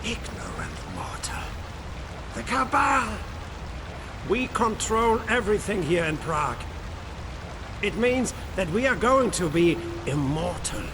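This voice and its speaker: Game henchman: arrogant fanatic, lightly pitched, very animated, theatrical and haughty, noisy ambience behind. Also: slow pace, long pauses, heavily acted. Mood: scornful menace. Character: arrogant, fanatical male cult henchman, contemptuous of intruders. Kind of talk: video game cutscene acting.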